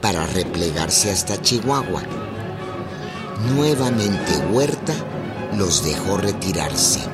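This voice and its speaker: A man narrating a scripted historical radio drama in a measured, solemn manner. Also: sits low, expressive. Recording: loud music bed behind.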